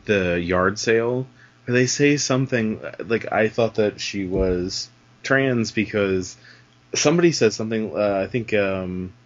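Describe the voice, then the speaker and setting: A man's voice: lowish voice, measured pace. A man in a casual podcast discussion, rambling and hesitant, swearing offhandedly. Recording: dull lo-fi recording.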